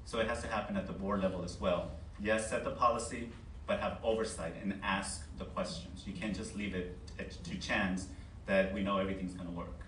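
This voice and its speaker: A man's voice: sits low, fairly even intonation, brisk, a bit bright. A man giving a plain-spoken, insistent answer as a candidate at a public forum. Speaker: principal and board candidate. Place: large meeting board room. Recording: roomy distant mic, faint noise.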